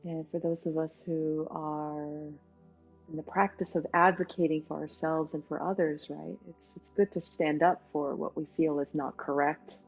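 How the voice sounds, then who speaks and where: A woman leading a guided meditation as its teacher, talking in an unhurried way with pauses between short phrases. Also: low-pitched, fairly even intonation. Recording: dull lo-fi sound, music behind. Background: music.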